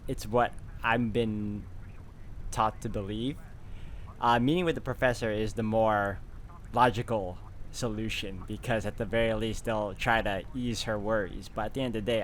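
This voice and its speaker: A man's voice: lowish voice, slight background noise. A man hosting a game walkthrough, reading story text aloud and commenting casually.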